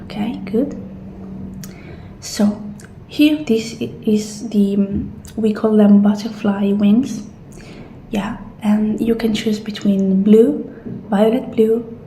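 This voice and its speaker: A woman doing a soft-spoken ASMR roleplay as an assistant, often dropping into a whisper, with gentle, reassuring guidance. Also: mid-range voice. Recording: roomy echo, faint background noise.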